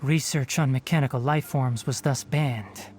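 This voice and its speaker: A man's voice: mid-range voice, steady tone, normal speed, darkish tone, close to an everyday voice. Guy doing young animal-eared forest ranger in a game, thoughtful n matter of fact, articulate, bit of music under it. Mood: earnest, reflective. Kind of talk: video game character dialogue. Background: background music.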